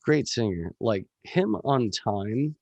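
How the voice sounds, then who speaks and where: A man with a gravelly voice, a musician chatting casually as a podcast guest, rambling with lots of fillers and swearing. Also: medium pitch, very animated, slow, dry recording.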